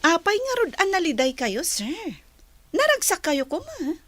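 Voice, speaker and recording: Woman voicing a nosy gossip, Ilocano accent, very high, wild swoops, excitable, music bed. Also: normal speed, no echo, full character voice. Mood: gossipy. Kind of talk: radio drama acting.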